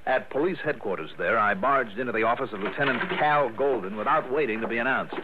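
Hardboiled American investigator played by a man, cool, unflappable, medium pitch; narrow, noisy vintage radio. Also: quick pace, natural voice. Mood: wry narration. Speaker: lead and narrator. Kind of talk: old-time radio detective drama.

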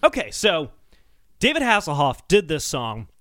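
A man co-hosting a podcast, telling researched stories in a casual, animated chat. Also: a little high, normal speed, no echo. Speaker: podcast co-host.

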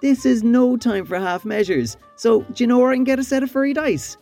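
Soft, highish snob acted by a woman. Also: animated, brisk, vocal fry, soft background music. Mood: earnest, snobbish. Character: posh, clueless young Cork snob playing hip. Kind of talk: scripted comedy monologue.

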